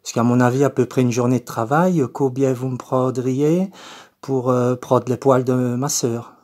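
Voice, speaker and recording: A man's voice: medium pitch, fairly even intonation, normal speed, a little mellow, natural voice. A man voicing a confused, polite eighty-year-old woman with a Belgian French accent, hesitant and repetitive, as in a prank phone call.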